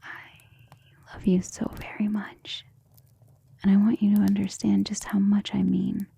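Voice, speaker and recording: Woman acting a breathy, tender ancient vampire lover. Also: medium pitch, monotone, measured pace, full character voice. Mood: tender, vulnerable. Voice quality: soft, breathy, often whispering. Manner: intimate, gentle, formal wording. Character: centuries-old female vampire lover, tender, protective, self-assured. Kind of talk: ASMR romantic roleplay.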